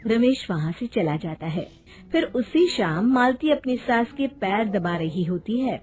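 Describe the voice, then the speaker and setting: Fairly high-voiced woman narrating. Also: very animated, unhurried, darkish tone. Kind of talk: scripted cartoon story narration. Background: background music.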